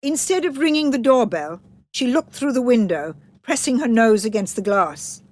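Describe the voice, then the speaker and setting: A woman's voice: fairly high pitch, lively intonation, normal speed, darkish tone. A woman narrating a children's story in a scripted read-aloud style.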